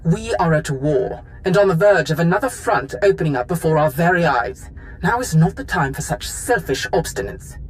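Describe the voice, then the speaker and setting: A woman's voice: lowish voice, animated, normal speed, slight background noise, lightly acted, poor audio. A woman acting a haughty, demanding Imperial Sith official in a video game cutscene, with cold, formal phrasing. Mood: stern, insistent.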